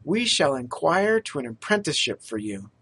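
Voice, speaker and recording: A man doing a polite, stammering young gentleman. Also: a little high, big pitch swings, normal speed, husky, heavily acted. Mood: friendly. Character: earnest, apologetic young gentleman, the son.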